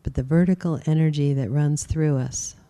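A woman teaching a guided meditation, speaking gently and unhurriedly with long pauses. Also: low voice.

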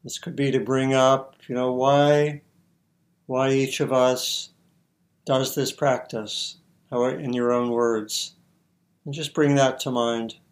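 A man teaching meditation, giving guided instructions in short, measured phrases with long pauses. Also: medium pitch, fairly even intonation.

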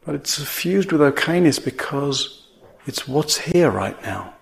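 Slow-speaking man guiding meditation. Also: fairly high pitch, lively intonation, vocal fry. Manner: measured, with long pauses between phrases. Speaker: meditation teacher. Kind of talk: guided meditation.

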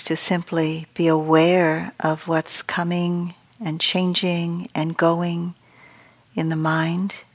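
A woman meditation teacher speaks softly in a slightly low register. Her delivery is very slow and nearly flat in pitch, with long pauses. Phrases often trail off into a gentle, breathy softness as she guides the practice.

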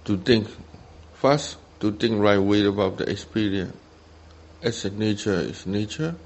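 A man with a Burmese accent, a meditation teacher, giving guided instruction in short simple phrases with long pauses between them. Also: fairly low pitch, a little mellow, a little hiss or hum. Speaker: meditation teacher, Buddhist monk.

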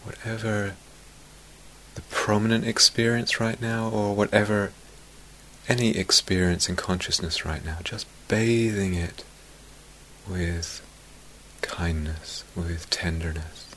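A man teaching guided meditation in a gentle, soft-spoken manner, leaving long pauses and letting phrases trail off toward a whisper. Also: fairly low pitch, steady tone, a little hiss or hum, poor audio.

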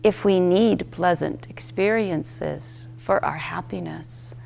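A woman teaching a guided meditation, speaking in gentle, unhurried instruction with short, spaced phrases. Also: fairly low pitch, creaky, a little hiss or hum.

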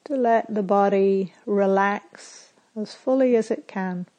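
A woman meditation teacher leading a guided meditation in a soothing, measured way, with pauses and phrases that end softly. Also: medium pitch, dull audio.

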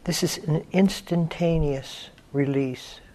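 Man, meditation teacher, slightly high voice, deliberate short phrases, long pauses, hushed endings, repeated key words. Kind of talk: guided meditation, spoken slowly with long pauses.

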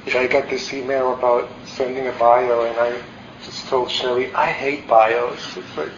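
A man's voice: medium pitch, level tone, moderate pace, slight background noise. A man in his forties from El Salvador giving a candid, reflective meditation talk, with many fillers and restarts. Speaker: meditation teacher. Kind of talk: dharma talk. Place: meditation hall. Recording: roomy, slightly dull hall recording.

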